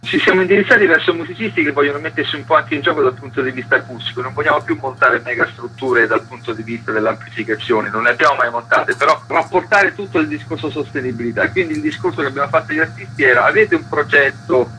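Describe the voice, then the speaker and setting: A man's voice: on the high side, quick pace, hardly any pauses, sharp tone, soft background music, poor audio. A man explaining things as an interview guest on the radio. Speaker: festival curator, interview guest.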